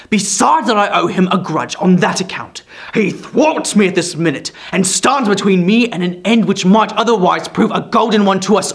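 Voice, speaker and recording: A spiteful, scheming dwarf sneers and hisses his words, slow and full of contempt, as a man puts him on. His voice is raised and pressed, dropping now and then to a whisper. It is storybook villain acting. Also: sits high, gravelly, husky, exaggerated voice.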